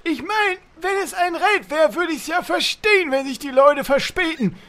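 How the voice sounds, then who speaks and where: An extremely high-pitched cartoon uncle bosses his guild around. The voice grumbles and reproaches fussily, as in a comic radio play. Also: moderate pace, sharp tone. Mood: impatient. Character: bossy, fussy cartoonish uncle, male guild leader.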